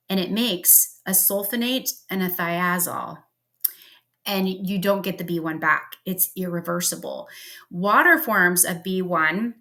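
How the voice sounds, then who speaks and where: Woman explainer, lowish pitch, bright tone, chatty lecture style with fillers, recorded at home. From Pennsylvania, US. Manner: casual and conversational, with frequent ums and likes. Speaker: health educator. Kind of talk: explanatory health lecture over slides.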